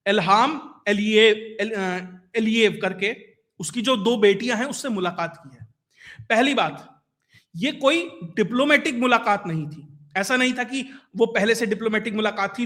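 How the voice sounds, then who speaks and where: A man with a notably high, bright, forceful voice. He delivers political commentary in a colloquial way, explaining points to the viewer and stressing them with rhetorical asides. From India. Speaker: YouTube news commentator.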